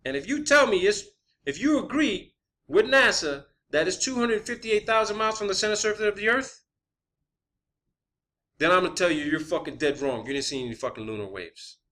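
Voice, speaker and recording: Forceful, sharp-voiced man arguing his theory. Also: high-pitched, lively intonation, fairly quick. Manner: emphatic, combative, heavy swearing. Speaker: video host presenting own theory. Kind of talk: informal opinion monologue.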